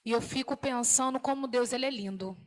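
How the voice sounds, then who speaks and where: A woman in her thirties, originally from Salvador, gives her testimony in a high, bright voice. She speaks with emotional fervor and a preaching lilt. She often checks in with a little "né?". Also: a little fast. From Salvador, Bahia, Brazil. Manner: emotional, fervent preaching tone with frequent "né?". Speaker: guest giving a testimony. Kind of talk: church testimony on a TV program.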